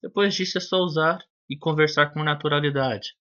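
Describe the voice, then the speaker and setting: A man's voice: a little high, a little fast, pushed and bright, clear, resonant tone. A man teaching a language lesson, explaining step by step in a methodical, didactic way and addressing the viewer directly. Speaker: English teacher. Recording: dull lo-fi video audio.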